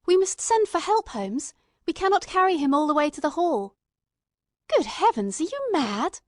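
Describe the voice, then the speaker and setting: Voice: very high-pitched, very animated, normal speed, no room sound, studio quality. A performer playing an earnest, emotional male companion who narrates a radio mystery in a literary, fervent manner. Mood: urgent. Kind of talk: dramatic scripted narration, old-time radio mystery.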